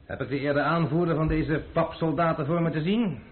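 An actor playing a stubborn, insolent young gentleman adventurer in a radio play, husky, politely mocking and dryly unimpressed. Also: on the high side, fairly even intonation, a little fast. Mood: cool, brisk. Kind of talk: Dutch radio drama acting. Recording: muffled, band-limited old radio recording.

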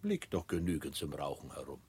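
Radio play role: proud, fussy old coachman, very husky low voice, hugely animated intonation. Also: fairly quick, exaggerated voice. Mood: musing, content. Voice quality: husky, breathy. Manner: musing, fussy, incredulous. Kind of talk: absurdist radio play acting.

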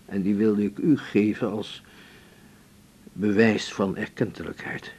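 An actor playing a courteous, composed older male patient in a radio drama, speaking gently and haltingly. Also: fairly even intonation, breathy edge. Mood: gentle, grateful. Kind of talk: 1980s Dutch radio drama acting. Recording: faint rumbling background noise.

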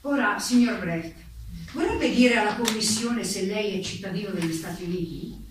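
A woman's voice: medium pitch, moderate pace, lightly acted. A woman playing a committee's chief investigator in a staged reading, questioning in a formal, clipped, official way. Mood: official, probing. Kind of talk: staged dramatic reading of interrogation. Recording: distant mic, very echoey room, faint noise.

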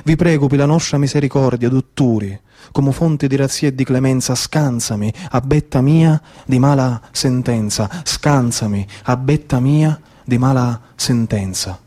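A man's voice: mid-range voice, a little slow, mellow. A man in his thirties with a Sicilian accent, telling a tale theatrically in an incantatory, repetitive manner. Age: 30s. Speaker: stage storyteller. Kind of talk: theatrical Sicilian cunto storytelling.

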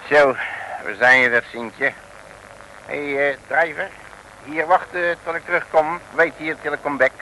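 A man's voice: fairly high pitch, fairly even intonation, measured pace, sharp tone, rough recording. An actor playing a cocky, blustering, slangy male detective in a comic radio play. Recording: old radio recording, faint noise.

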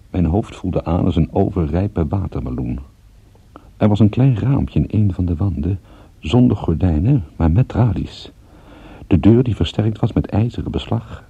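An actor playing a wry, groggy captive private detective in a Dutch radio drama, with dry, sardonic understatement. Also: male voice, deep voice, gravelly, mellow, husky, highs cut off, full character voice. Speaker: narrator and lead character. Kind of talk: Dutch radio drama acting, first-person detective narration.

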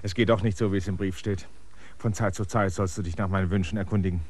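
Ein Schauspieler spielt in einem Hörspiel einen erschöpften, flehenden Landvermesser, der drängend und bittend spricht. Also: male voice, low voice, fairly even intonation, fast talker, a little mellow, a little hiss or hum, natural voice. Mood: insistent. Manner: pleading, clinging, insistent. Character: weary stranded male land surveyor, pleading and insistent. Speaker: land surveyor character. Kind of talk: radio play acting.

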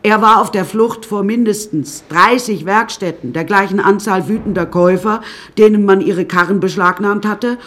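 An actor playing a wry, rambling storyteller who recounts a troubled childhood in a radio drama monologue, with hesitant asides. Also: male voice, high-pitched, moderate pace, a bit bright. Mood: scornful, wry.

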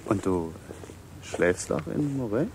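A man's voice: lowish voice, expressive, slow pace, background noise. An actor playing a composed, wary and urbane married man in a radio play, casual yet probing.

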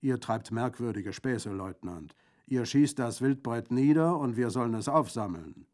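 A man's voice: medium pitch, animated, a little slow, darkish tone, voice pushed up, lightly acted. A man voicing a boisterous Corsican bandit in an audiobook, jovial and exclamatory, with a gleefully mocking, gruesome humour. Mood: brisk, bantering. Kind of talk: audiobook character acting.

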